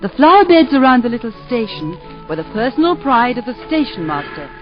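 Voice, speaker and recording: A warm village wife who tells her own story, wistful and lightly self-mocking. Her voice sits a little high. The recording is muffled, from a 1940s radio drama, with faint music. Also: very animated, brisk. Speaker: narrator and lead.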